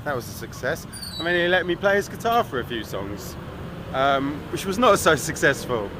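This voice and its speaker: A man's voice: a little high, very animated, moderate pace. A man talking casually as a travel vlogger, rambling with asides and the odd swear word, outdoors on a city street. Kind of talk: casual vlog narration. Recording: street traffic noise. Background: traffic and street noise.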